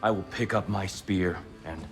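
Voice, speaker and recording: Game casting: a secretive old warrior god, low-voiced, stately and solemn. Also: male voice, soft background music. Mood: solemn resolve. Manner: grave, measured, declamatory. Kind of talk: video game cutscene acting.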